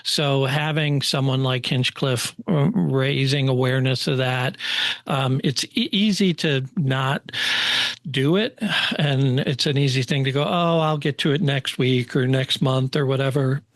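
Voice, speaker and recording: Male podcast co-host, medium pitch, dry close sound, conversational and slightly halting. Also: dry recording.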